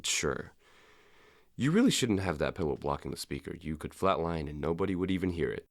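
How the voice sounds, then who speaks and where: A man's voice: very deep voice, expressive, a little fast. An actor playing a dry, blunt man in a naturalistic radio drama. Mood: dry, chiding.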